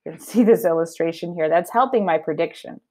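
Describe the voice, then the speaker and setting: A woman's voice: fairly low pitch, fairly quick, mellow. A woman teacher giving a read-aloud lesson for children, explaining her thinking and asking checking questions as she goes.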